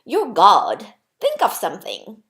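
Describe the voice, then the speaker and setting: A woman's voice: medium pitch, huge pitch swings, slow pace, fairly bright tone, heavily acted. A woman voicing an eager, curious, childlike little soul in a storybook, wide-eyed and full of questions and exclamations. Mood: perky. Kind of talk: storybook character voice.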